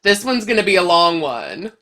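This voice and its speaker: A woman's voice: fairly low pitch, big pitch swings, moderate pace, a bit bright. A woman hosting a crochet vlog in a casual, playful, chatty way, sounding slightly stuffed up with a cold. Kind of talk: casual chatty talk to camera.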